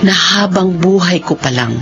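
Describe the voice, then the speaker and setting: A woman's voice: on the low side, animated, normal speed, loud music behind the voice. A woman playing a tender, emotive seaman's wife in a Philippine radio soap, speaking with hesitant exclamations. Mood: regretful. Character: Judith, tender seaman's wife with a regretful past. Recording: dull lo-fi radio sound.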